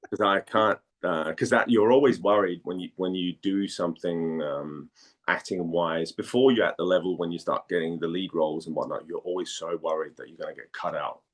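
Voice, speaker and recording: Male interview guest from Denmark, deep register, informal and hesitant, conversational delivery. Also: fairly quick. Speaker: interview guest, voice actor and former model.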